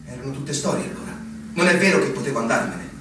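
A man's voice: mid-range voice, very animated, quick pace, natural voice. A young man playing an earnest, frustrated army lieutenant in a radio drama, speaking with formal, urgent pleading. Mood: insistent, bitter. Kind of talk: stage-style radio drama acting. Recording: very echoey, distant, faint noise.

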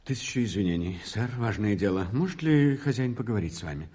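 An actor playing a timid, apologetic servant in a radio play, deferential and hesitant. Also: male voice, fairly low pitch, expressive, fast, mellow, close to an everyday voice. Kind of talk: 1980s Soviet radio play acting. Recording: noisy recording with clicks.

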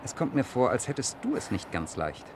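An actor playing a sardonic, smug young defence lawyer in a 1960s German radio play, speaking in a dry, ironic way. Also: male voice, mid-range voice, wildly swooping pitch, a little fast. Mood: brisk. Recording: noisy 1960s radio recording with clicks.

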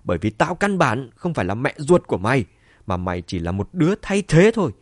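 A man voicing a bitter, cruel middle-aged mother in an audiobook, shouting spitefully and defiantly. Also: medium pitch, very animated, fast talker, breathy edge, no echo, studio quality. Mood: venomous.

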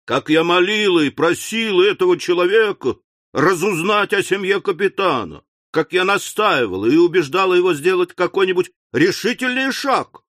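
A man doing a shrill, weepy indignant matron. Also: sing-song, a little slow, few pauses, bright, forceful tone, no echo, clean studio sound, full character voice. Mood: aggrieved wailing. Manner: shrill, self-pitying, reproachful. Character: tearful indignant middle-aged lady, mayor's wife. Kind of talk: audiobook reading.

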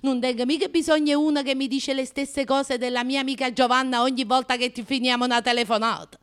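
A woman's voice: high-pitched, steady tone, a little fast, fairly bright tone, smooth. An actress playing a flamboyant, passionate, self-mocking Neapolitan woman in a radio monologue, with a confiding, emphatic and colloquial manner and a Neapolitan accent. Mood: wry, exasperated. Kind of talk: radio drama comic monologue.

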